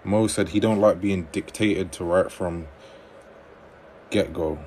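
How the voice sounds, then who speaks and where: A man hosting a livestream on dating advice, reading viewer comments aloud and answering them in a relaxed conversational way. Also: deep voice, slow pace, slight background noise.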